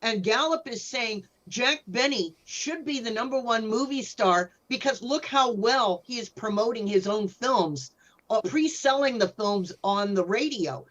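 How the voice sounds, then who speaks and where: A woman's voice: fairly high pitch, animated, unhurried, dull audio. A woman speaking as a historian on a panel, explaining informally and with emphasis.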